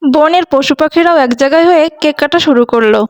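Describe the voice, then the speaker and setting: A woman's voice: sits high, quick pace, slight background noise. A woman reading scripted narration for a children's animal story.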